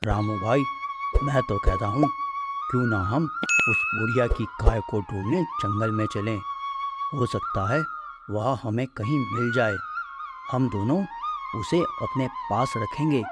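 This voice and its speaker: A man's voice: mid-range voice, huge pitch swings, a little slow. A man voicing a scheming, anxious village milkman in a Hindi cartoon story, with a complaining, dramatic delivery. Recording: loud background music with flute. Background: loud flute music.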